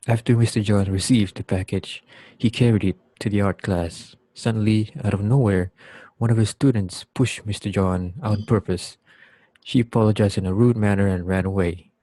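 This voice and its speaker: Student narrator, young man, lower register, scripted storytelling, dry close sound. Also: steady tone, moderate pace, warm tone, no room sound. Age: young adult. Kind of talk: scripted narration, reader's theater.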